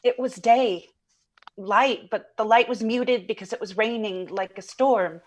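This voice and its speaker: A woman playing a shaken witness in an audio drama, giving earnest, halting testimony about a strange night. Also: on the high side. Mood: uneasy recall. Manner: halting, earnest, precise recall.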